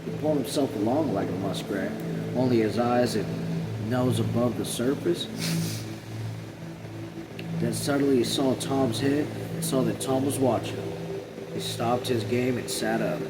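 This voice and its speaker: A man narrating a novel aloud in a measured, plain manner with occasional stumbles. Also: medium pitch. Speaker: read-along narrator. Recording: loud steady air or car noise.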